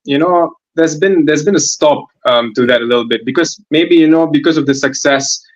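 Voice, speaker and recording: A man's voice: on the high side, normal speed, muffled recording. Hesitant German man in his twenties. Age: 20s. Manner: hesitant, many fillers and restarts. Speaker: guest footballer. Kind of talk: interview answers.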